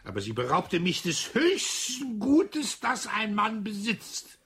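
An actor in a radio play voicing a suave, ironic man who marries for money, with a husky, breathy voice. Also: sits high, slow, slight background noise, full character voice. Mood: confessional. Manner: smooth, ironic, flattering.

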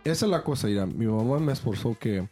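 Male co-host, mellow dark tone, joking storyteller. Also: mid-range voice, expressive, fast talker, slightly rough, faint music behind. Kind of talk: casual chat.

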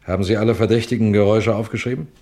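Ein Schauspieler spielt in einem Krimihörspiel einen scharfsinnigen, befehlsgewohnten Kriminalrat, der bedächtig fragt und anordnet. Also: male voice, on the low side, steady tone, warm tone, lightly acted. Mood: brisk, commanding. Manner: commanding, measured, questioning. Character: authoritative, shrewd police detective superintendent, first-person narrator. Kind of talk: German radio crime play acting.

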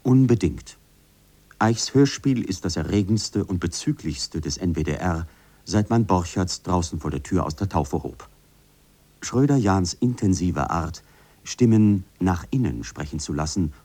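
A man's voice: deep voice, warm tone, breathy edge. A man giving a formal scripted reading of quoted texts.

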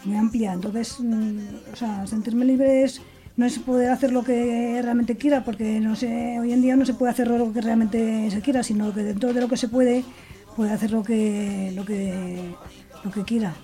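Mellow, higher-voiced Spanish woman radio host. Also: fairly even intonation, moderate pace. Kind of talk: radio introduction and group discussion. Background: music behind the voice.

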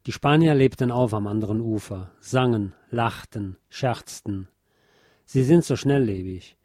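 Male narrator for literary documentary reading; mid pitch, dark soft tone, dry studio sound. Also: measured pace, no room sound. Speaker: narrator reading a historical letter and credits.

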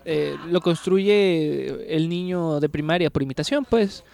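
Higher-voiced man musing on books. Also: measured pace, vocal fry, a little mellow, a little hiss or hum. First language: Spanish. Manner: thinks aloud, tag questions after nearly every phrase. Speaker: book club podcast co-host. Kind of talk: casual literary discussion.

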